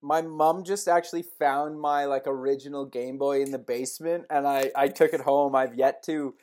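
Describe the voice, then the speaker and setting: A man's voice: mid-range voice, fairly even intonation, moderate pace, a little mellow. A man hosting an interview podcast, asking casual questions with lots of fillers. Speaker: podcast host.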